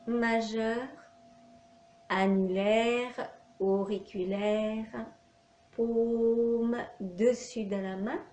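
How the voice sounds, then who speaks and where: A woman with a fairly high voice guides a relaxation meditation at an extremely slow pace. She gives short instructions separated by long pauses. The room is echoey. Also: dark tone. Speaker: yoga nidra instructor. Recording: echoey room, faint background noise.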